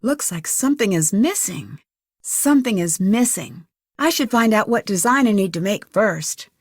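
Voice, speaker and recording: A woman playing an inquisitive, level-headed young detective thinking aloud in a video game, earnest and crisp. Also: mid-range voice, expressive, moderate pace, dry recording, studio quality. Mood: focused, pondering.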